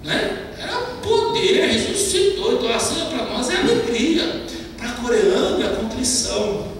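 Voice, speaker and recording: A man preaching a lively testimony sermon, telling stories and mimicking broken speech. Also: very high-pitched, a little slow. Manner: animated storytelling, mimics broken Portuguese. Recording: echoey hall, distant mic, background noise.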